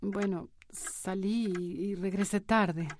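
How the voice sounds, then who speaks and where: A woman's voice: mid-range voice, steady tone, slow pace, a little mellow, a little hiss or hum, close to an everyday voice. An actress playing Amanda, a hesitant, shaken woman, in a radio soap opera. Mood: groggy, alarmed.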